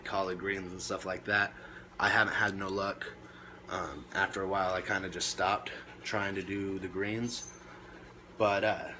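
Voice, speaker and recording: A man presenting reptile care tips in a casual, laid-back way with short emphatic phrases, now and then coughing or clearing his throat. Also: on the low side, pushed and bright, a little hiss or hum. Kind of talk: casual informal talk to camera.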